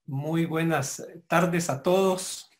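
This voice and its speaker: A man giving a formal lecture to members, speaking in a measured, explanatory way with pauses and self-corrections. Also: fairly high pitch. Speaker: guest lecturer.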